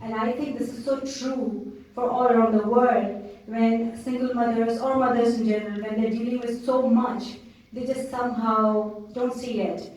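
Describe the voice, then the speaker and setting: A woman's voice: fairly high pitch, steady tone, moderate pace, a little mellow, recorded from a distance. A woman answering at a film festival Q&A, speaking earnestly and reflectively about her role. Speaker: actress on a panel. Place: cinema auditorium. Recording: roomy hall, mic from afar.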